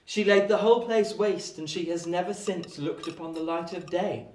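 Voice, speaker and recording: A man as a genial, high-voiced young gentleman. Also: steady tone, moderate pace, distant mic, slight background noise, lightly acted. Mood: storytelling. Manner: polite, tactful, chatty storytelling. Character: genial, well-bred young London gentleman telling tales. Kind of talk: radio play acting. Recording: echoey room, off the mic.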